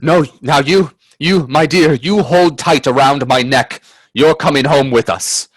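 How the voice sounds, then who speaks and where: An earnest, anxious gentleman adventurer in a radio play, played by a man. His voice sits a little high and sounds dry and close. He delivers his words with grave, dramatic care. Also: slightly rough, dry recording. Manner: earnest, hesitant in dialogue, measured in narration.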